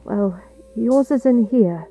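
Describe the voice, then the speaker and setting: An actress playing a guarded, quietly compassionate woman traveller in an audio drama, with a terse, sober, measured delivery. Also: fairly high pitch, poor audio. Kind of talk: audio drama character acting.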